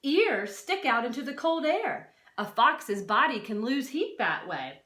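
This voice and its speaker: A woman's voice: sits high, sing-song, unhurried, bright, forceful tone. A woman reading a non-fiction children's book aloud like a teacher, expressively, stressing key words and adding short explanatory asides. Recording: roomy, echoey sound.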